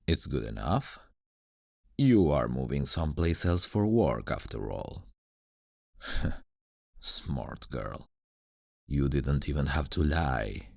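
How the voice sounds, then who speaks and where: An ancient demon guardian for an ASMR roleplay. His voice is extremely deep and crackles with gravel. He is suave, sardonic and condescending, and his intonation rises and dips theatrically as he teases. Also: a little mellow, no room sound, studio quality, exaggerated voice. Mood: coaxing, teasing. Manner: slow, deliberate, dramatic, condescending toward humans.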